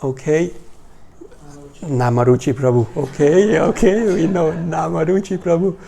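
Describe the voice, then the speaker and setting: A man in his forties with a Hungarian accent speaks informally as a religious teacher to a small group. His delivery is slow and hesitant, with frequent pauses. The voice sits a little high for a man, with a gravelly creak and a mellow tone. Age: 40s. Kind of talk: informal talk to a small class.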